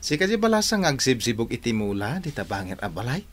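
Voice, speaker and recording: A man's voice: medium pitch, big pitch swings, quick pace. A man acting a put-upon, timid house servant who mutters and exclaims, with an Ilocano accent in a radio drama. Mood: friendly, flirtatious. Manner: muttering, exclamatory, talks to the cat.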